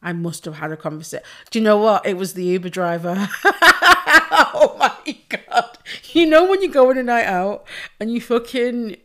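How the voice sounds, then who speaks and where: A woman hosting her own podcast alone, hoarse and losing her voice, chatting casually, swearing freely and laughing often. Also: mid-range voice, moderate pace. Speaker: podcast host. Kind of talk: casual solo podcast chat.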